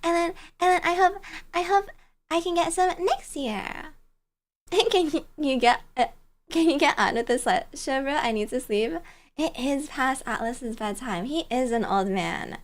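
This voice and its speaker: A woman's voice: sits high, unhurried. A woman livestreamer chatting casually and greeting viewers in a bubbly, giggly, cutesy and playful manner. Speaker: livestreamer, virtual bear-girl host.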